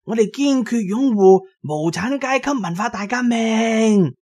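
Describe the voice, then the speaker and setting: A man voicing a deaf, muddled old village party secretary in Cantonese, zealous, bellowing slowly in a raspy voice. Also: medium pitch, expressive, pitched above the performer's own voice. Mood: fervent shouting. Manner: slow, loud bellowing of someone hard of hearing.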